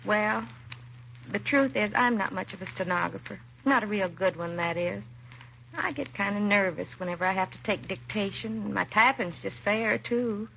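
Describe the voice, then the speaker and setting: An American actress playing a timid, self-doubting young secretary in a 1950s radio drama, hesitant and apologetic. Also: female voice, medium pitch, normal speed, vocal fry, close to an everyday voice. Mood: self-deprecating, nervous. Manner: hesitant, nervous, apologetic, trailing and restarting. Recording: muffled vintage radio recording, faint hiss.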